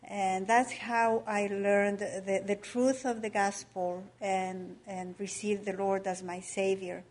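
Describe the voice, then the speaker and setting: A woman's voice: mid-range voice, little pitch movement, takes it slowly, a little mellow. A middle-aged woman from Ecuador giving a personal testimony at church, careful and hesitant. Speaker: missionary's wife giving testimony.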